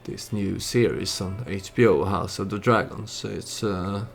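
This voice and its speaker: A man giving casual storytelling commentary over a strategy game, hesitant and halting as he thinks aloud. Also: low-pitched, vocal fry, faint music behind. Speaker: let's play YouTuber narrating his strategy game.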